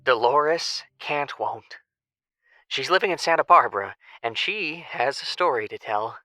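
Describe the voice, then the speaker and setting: A man's voice: mid-range voice, lively intonation, unhurried, pushed and bright, no room sound. A man playing a mysterious tipster who speaks tersely, as in a message left in an audio drama. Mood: cryptic.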